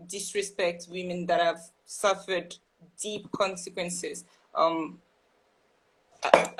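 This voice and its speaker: A woman's voice: lowish voice, rough recording. A young woman from Burkina Faso, a guest writer, talking earnestly and thoughtfully on a live video call, with frequent ums and restarts. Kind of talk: casual live-stream conversation.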